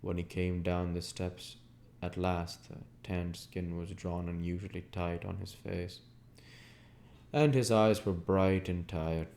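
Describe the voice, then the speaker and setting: Man, low voice, audiobook reader, scripted literary narration. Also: fairly even intonation, takes it slowly, creaky, a little mellow, a little hiss or hum.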